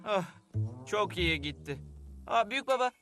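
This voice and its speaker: An actor voicing an earnest, lively young boy in a Turkish-dubbed cartoon adventure. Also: mid-range voice, moderate pace, soft background music, lightly acted. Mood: earnest, urgent.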